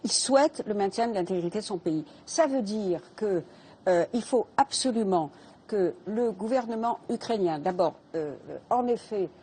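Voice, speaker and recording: An older woman speaking as a guest in a televised debate, measured and hesitant, with frequent fillers as she builds her argument. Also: mid-range voice, expressive, husky. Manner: measured, hesitant with many fillers, soft laughing start.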